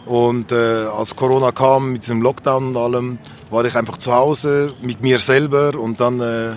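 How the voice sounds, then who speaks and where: A man's voice: medium pitch, level tone, normal speed. A man with a Swiss accent reflecting casually on his own life in a candid, colloquial, rambling way. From Switzerland. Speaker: street interviewee. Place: outdoors on a street. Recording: faint outdoor background noise.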